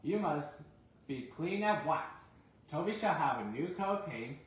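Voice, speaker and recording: A commanding railway boss from a children's storybook, as a man acts him out. He speaks firmly and loudly, with stern authority. The sound is heavily echoed. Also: on the high side, animated, a little slow, pitched above the performer's own voice. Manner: scolding, authoritative.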